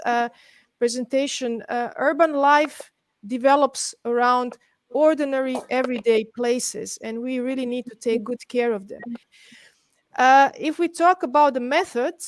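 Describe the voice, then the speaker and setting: A middle-aged woman with a Serbian accent presents to an online webinar. Her voice sits a little high, and the sound is very dry with no room around it. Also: no echo. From Belgrade, Serbia. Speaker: guest presenter, urban planner. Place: indoors, on an online webinar call.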